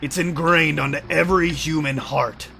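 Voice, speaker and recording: A man acting a gravelly, wily outlaw chief. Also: a little high, steady tone, normal speed, pushed and bright, a little hiss or hum. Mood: persuasive. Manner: folksy, shrewd, needling. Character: wily, grizzled leader of vampire-hunting outlaws. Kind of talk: audio drama acting. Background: music.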